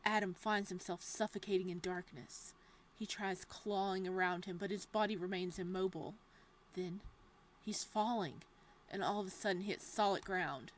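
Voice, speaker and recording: A woman reading a horror visual novel's text aloud in a hushed, steady voice. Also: medium pitch, normal speed, slight background noise.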